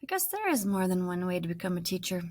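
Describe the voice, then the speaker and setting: Expressive woman reading a story aloud. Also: on the low side, quick pace. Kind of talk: children's book read-aloud, scripted first-person narration.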